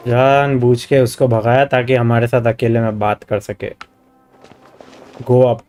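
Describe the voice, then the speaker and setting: Fast-talking Indian man streaming over music. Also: mid-range voice, level tone, darkish tone, smooth. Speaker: game streamer. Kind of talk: casual livestream commentary mixing Hindi and English.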